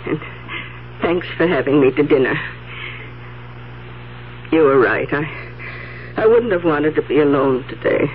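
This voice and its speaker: A woman's voice: deep voice, a little slow, long pauses, a little hiss or hum. An actress playing an anxious, grieving elderly aunt in a 1970s radio drama, hesitant and stammering, often repeating her words. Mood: subdued, resigned. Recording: tinny 1970s radio broadcast, music behind.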